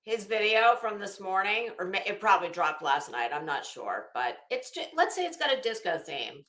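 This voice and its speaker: An American woman hosts a casual livestream in a roomy, echoing space. Her voice is bright and sits at a middling pitch for a woman. She talks to viewers in a chatty, emphatic way and is blunt with her words. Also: very animated, moderate pace. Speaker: livestream host. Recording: echoey, roomy sound.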